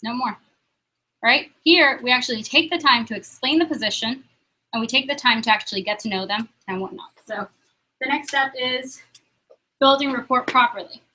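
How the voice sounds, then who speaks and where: Woman, young adult, trainer, upbeat, quick questions, high pitch. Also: pushed and bright, rough recording. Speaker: sales office trainer. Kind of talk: leading a training meeting.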